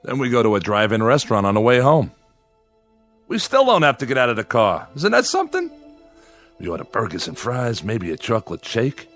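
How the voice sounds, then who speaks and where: A man's voice: mid-range voice, wildly swooping pitch, a little fast, fairly bright tone, faint music behind. An actor playing a lonely man devoted to his android companion, by turns yearning and defensive, in dramatic radio style. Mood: tender, eager. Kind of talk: dramatic radio acting with confessional narration.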